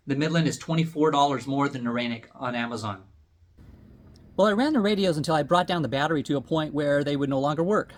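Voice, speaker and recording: A man's voice: fairly high pitch, fairly bright tone. A man hosting a product review, explaining things in a plain, unscripted way as he demonstrates.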